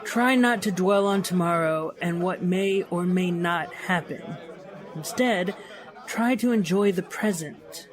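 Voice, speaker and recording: A genial, self-satisfied male society guest, played by an actor. He talks slowly and soothingly in a high voice, in audio drama style, with faint chatter behind. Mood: smug reassurance. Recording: music and faint crowd behind.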